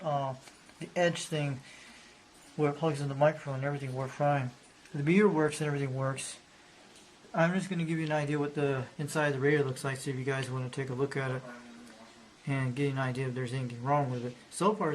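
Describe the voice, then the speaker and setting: Man, casual rambling hobbyist talk, slightly high pitch, faint hiss. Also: rough recording. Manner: rambling, repeats himself, loose grammar. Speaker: hobbyist showing a radio. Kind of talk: casual show-and-tell narration.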